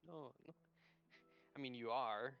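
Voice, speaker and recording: A woman's voice: rumbling low, slow, muffled recording. A woman co-playing on a game stream, bantering casually and teasingly over a voice chat. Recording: band-limited voice chat, music behind.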